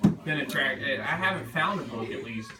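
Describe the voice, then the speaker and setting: A man with a medium-pitched voice talks informally as an author taking questions. He rambles, thinking aloud in a self-deprecating way. He is picked up from a distance in an echoey room with a noisy background. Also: animated, normal speed, crowd noise. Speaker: author at a book reading. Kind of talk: informal question-and-answer talk at a reading. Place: bookstore event room. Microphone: distant room microphone.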